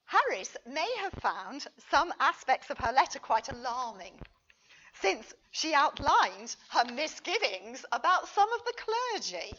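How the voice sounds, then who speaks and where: A woman reading a history paper aloud as a conference speaker, expressive and emphatic, with a wry touch. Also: high-pitched, measured pace, lo-fi sound. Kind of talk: prepared lecture read aloud to an audience.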